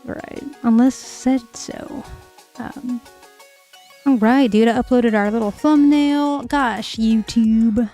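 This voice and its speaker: A woman's voice: on the high side, fairly even intonation, slow pace, warm tone, soft background music. Loose, swearing livestream host, woman. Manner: loose, profane, laughing. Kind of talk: casual chat.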